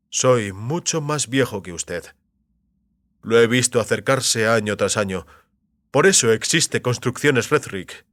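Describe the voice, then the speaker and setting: A man voicing an aging company boss, wary and weary, with formal, measured speech that can turn startled, in an audio drama. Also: on the low side, fairly bright tone, no room sound. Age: older.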